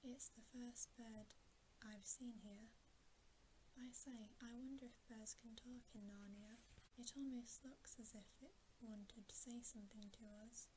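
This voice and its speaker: A woman's voice: fairly high pitch, fairly even intonation, unhurried, mellow, lightly acted, rough recording. A woman voicing an earnest, wondering little girl in a storybook, in a polite, trusting whisper. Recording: faint background hiss.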